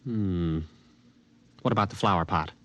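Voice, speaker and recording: A man's voice: low-pitched, a little slow, no room sound, dull audio. A young man playing a skeptical college student in a 1950s radio drama, talking in a casual, wry way. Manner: casual, hesitant questioning, wry. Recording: vintage radio recording with music.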